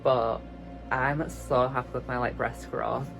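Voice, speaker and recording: A woman's voice: rumbling low, fairly even intonation, creaky, low-quality recording. Young British woman, girly light voice, casual vlog chat, self-mocking, music behind. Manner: chatty, self-mocking, drawn-out emphasis. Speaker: vlogger.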